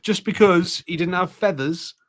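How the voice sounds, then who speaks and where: A man's voice: high voice, moderate pace. A man co-hosting a casual podcast, bantering and arguing in an opinionated way with some swearing. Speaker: podcast host.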